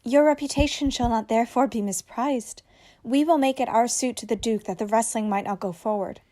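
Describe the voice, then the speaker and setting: A woman's voice: on the high side, fairly quick, mellow, close to an everyday voice. A woman playing a witty, spirited young princess in a Shakespearean radio play, speaking formally and articulately. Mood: sympathetic.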